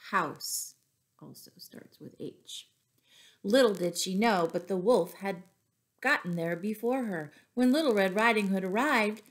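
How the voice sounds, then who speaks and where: A middle-aged woman reading picture books aloud expressively to young children, pausing to explain words. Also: mid-range voice. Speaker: children's storyteller and teacher. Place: small room at home, indoors.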